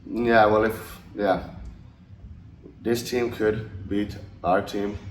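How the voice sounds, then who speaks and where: A young man from Finland, a hockey forward, answering interview questions in a relaxed, hesitant way with many fillers and restarts. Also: fairly low pitch, very slow. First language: English and Finnish. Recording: roomy, distant mic, noisy.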